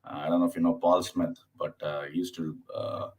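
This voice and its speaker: Deep-voiced comedian from southern India. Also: male voice, little pitch movement, darkish tone, husky. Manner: halting, many fillers and restarts; acts out his mother's voice in anecdotes. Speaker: stand-up comedian, guest. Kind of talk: casual podcast conversation.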